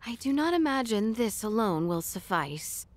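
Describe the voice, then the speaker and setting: Composed young princess, higher voice. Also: female voice, very animated, normal speed. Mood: earnest, restrained. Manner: formal, courtly diction. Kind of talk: video game cutscene acting.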